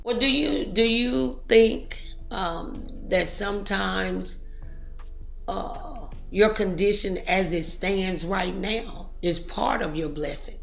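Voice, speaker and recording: A woman's voice: medium pitch, slow pace, gravelly, roomy sound, faint music behind. A woman hosting a talk show, speaking in an encouraging, preachy way full of motivational sayings.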